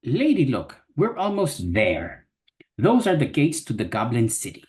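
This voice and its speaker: A man's voice: mid-range voice, wildly swooping pitch, measured pace, a little mellow. A man doing a gallant blustering fox knight. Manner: archaic chivalrous speech, blustering. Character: gallant little male fox knight, boastful and brave. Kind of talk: amateur dramatic reading. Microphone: video call.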